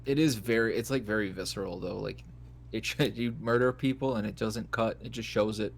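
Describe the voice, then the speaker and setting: A man's voice: fairly low pitch, a little fast, gravelly, a little hiss or hum. A man, co-hosting a casual gaming podcast and joking around as he reads list entries. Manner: relaxed, joking, reads in a mock newscaster voice. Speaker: podcast co-host. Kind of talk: casual gaming chat, reading list items aloud.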